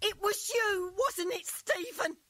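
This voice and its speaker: A scolding working-class mother in a stage drama. Her voice is very high and piercingly bright, drawn out very slowly, full of exasperation. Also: no echo, full character voice.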